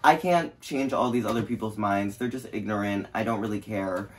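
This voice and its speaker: A drag contestant on a reunion panel, speaking candidly and reflectively. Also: male voice, on the low side, moderate pace, slight background noise.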